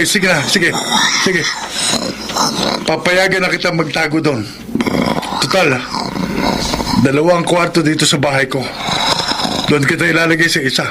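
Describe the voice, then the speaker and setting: Actor as a high-voiced, hesitant Filipino everyman. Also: background noise, lightly acted. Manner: deferential, hesitant, expressive. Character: meek, polite male office worker with a hidden side. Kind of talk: Filipino radio drama acting.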